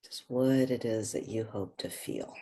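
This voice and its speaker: A meditation guide speaking gently and without hurry, leaving pauses between short phrases. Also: medium pitch, husky.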